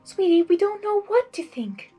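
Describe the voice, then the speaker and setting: A woman's voice: extremely high pitch, big pitch swings, normal speed, dark tone, soft background music, voice pushed up. A woman voicing a refined, dramatic and caring young female cartoon pony with a genteel manner. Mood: concerned.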